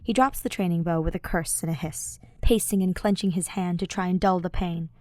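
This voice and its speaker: A woman's voice: lowish voice, steady tone, brisk, a little mellow, dry recording. Woman narrating fiction in a dry space. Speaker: audiobook narrator.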